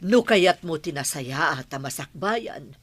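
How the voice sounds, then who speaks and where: A woman's voice: sits low, lively intonation, slow pace, husky, no room sound, exaggerated voice. A woman playing a stern, exasperated mother of a grown son, scolding emphatically in an Ilocano radio drama.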